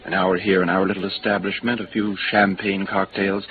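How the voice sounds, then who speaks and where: A man's voice: sits low, little pitch movement, a little fast, natural voice. A noisy, narrow vintage radio recording of a stately bartender played by a man. He speaks formally, with flowery courtesy and a pompous, discreet air, in 1950s radio drama style. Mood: confiding, formal.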